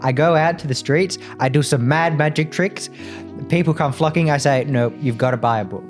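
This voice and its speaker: A man's voice: fairly high pitch, moderate pace, faint music behind. A man playing a tabletop game, improvising in character in casual, short, punchy phrases.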